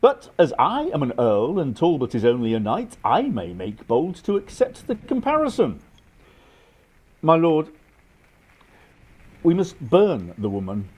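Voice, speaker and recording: A man's voice: a little high, wildly swooping pitch, measured pace, pauses often, darkish tone, breathy edge, heavily acted. A man playing a suave, cynical English earl in a radio drama, with an urbane, dry, politely sardonic manner. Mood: smooth, sardonic. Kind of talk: stage-style radio drama acting.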